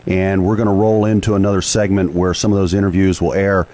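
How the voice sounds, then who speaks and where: Guy hosting a radio interview, low voice, conversational. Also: level tone, quick pace, mellow.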